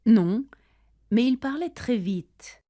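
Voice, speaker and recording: A woman reads her half of a scripted practice dialogue, slowly and with deliberate care so learners can follow. Her tone is warm and mellow, and the recording is dry and close, with no room sound. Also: fairly high pitch, expressive, no echo. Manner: careful, deliberate delivery for learners. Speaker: language-lesson dialogue reader. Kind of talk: slow scripted dialogue, each line read twice.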